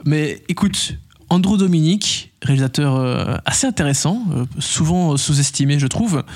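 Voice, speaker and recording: A man's voice: a little high, animated, normal speed, a little mellow. A man who works as a film journalist, co-hosting a casual film chat, talking with wry asides and thinking aloud. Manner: chatty, many fillers and restarts, wry asides. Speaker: film podcast co-host, film journalist. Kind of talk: casual chat about upcoming films.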